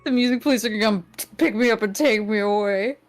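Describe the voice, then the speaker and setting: A woman chats casually as a show's guest, laughing often and stumbling over her words as she restarts sentences. Her voice sits at a middling pitch, with faint music playing underneath. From New England. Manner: giggly, halting, many restarts. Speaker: guest vtuber. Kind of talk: casual chat.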